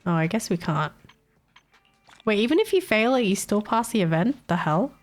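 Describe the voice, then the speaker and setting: A woman's voice: medium pitch, very animated, normal speed, soft background music. A young woman with a Filipino accent chatting casually on a live stream, teasing her chat and laughing often. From Philippines.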